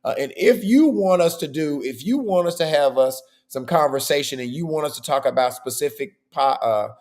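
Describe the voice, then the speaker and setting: A man hosting a podcast in a casual, chatty way. His voice is hoarse and worn, and he keeps checking in with "okay?". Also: fairly high pitch, normal speed, a little mellow. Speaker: podcast host.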